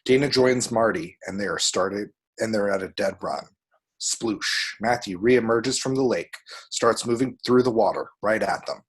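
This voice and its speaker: A man's voice: fairly low pitch, moderate pace, slightly rough. A man reading out a screenplay's action descriptions at a table read, with vivid, dramatic storytelling. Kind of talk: scripted screenplay narration with spoken sound effects.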